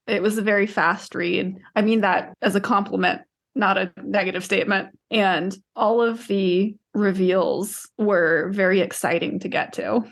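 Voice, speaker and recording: A woman co-hosting a book podcast, talking casually in a measured, explanatory way. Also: mid-range voice, fairly even intonation, gravelly. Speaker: podcast co-host discussing books.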